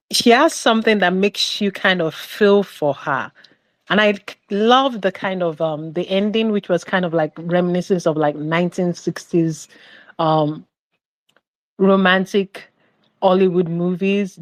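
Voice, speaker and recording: A woman's voice: lowish voice, no echo. A woman giving her opinion on a film podcast, chatty and meandering, with lots of "like" and restarts. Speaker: podcast panelist. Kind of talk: casual opinion chat.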